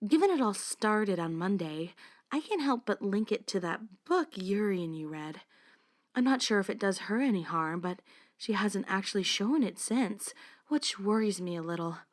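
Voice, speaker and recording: A woman's voice: medium pitch, natural voice. A woman voicing a poised, caring teenage club president in a fan visual novel, articulate and confiding.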